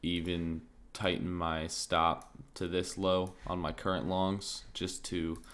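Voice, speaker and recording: A man streaming crypto market commentary in a casual, thinking-aloud way, his voice slightly raspy and often interrupted by throat clearing. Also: sits low, slow. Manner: relaxed, thinking aloud, many fillers like "like" and "um".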